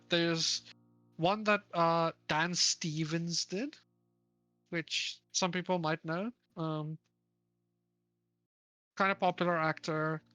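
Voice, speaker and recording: Low-voiced woman in rambling book-club chat. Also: fairly bright tone. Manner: rambling, searches for names, laughs often. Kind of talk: casual chat.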